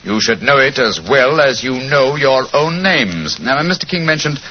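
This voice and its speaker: An actor playing a self-assured English detective in 1940s radio style, with a clipped, theatrical, commanding delivery. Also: male voice, mid-range voice, expressive, moderate pace, close to an everyday voice. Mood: grave, probing. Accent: British. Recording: noisy, muffled 1940s radio recording.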